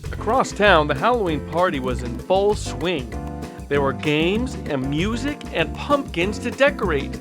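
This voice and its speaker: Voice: mid-range voice, sing-song, a little slow. A narrator reading a children's storybook in a scripted read-along style. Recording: music bed under the voice.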